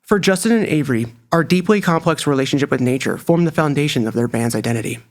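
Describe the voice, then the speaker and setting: A man from North Carolina hosting a podcast interview, talking in a relaxed, chatty way with lots of fillers. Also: a little high, fast talker, no room sound, studio quality. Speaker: podcast host. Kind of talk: casual interview.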